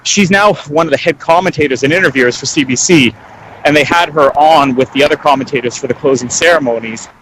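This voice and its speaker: A man's voice: fairly high pitch, quick pace, background noise. A Canadian man co-hosting a podcast in casual chat, telling stories with dry, self-deprecating humour. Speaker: podcast co-host.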